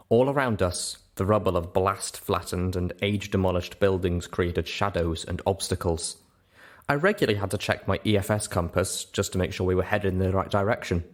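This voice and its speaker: A man narrates an audiobook in a fairly low voice. He reads scripted first-person story prose, as a storyteller would.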